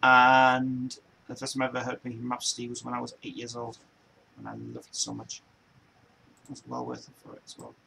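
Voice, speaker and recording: A man in his forties, from England, chatting as a show host in a rambling, easygoing way. His voice sits at a middling pitch with a lot of gravelly fry. There is a faint hiss of noise behind him. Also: brisk, sharp tone, low-quality recording. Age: 40s. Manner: loose, meandering, repeats himself and restarts often. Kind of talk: rambling casual chat.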